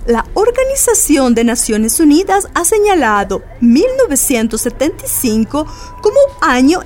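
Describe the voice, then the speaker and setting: An actress playing a courteous official envoy in a radio drama, explaining things in a formal manner. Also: female voice, high-pitched, a little mellow.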